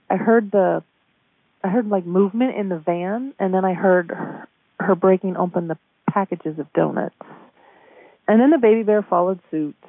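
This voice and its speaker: A woman being interviewed over the phone, casually recounting events in a halting way with repeated words. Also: medium pitch, dry recording. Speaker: store manager being interviewed. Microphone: telephone call. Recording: muffled band-limited phone line.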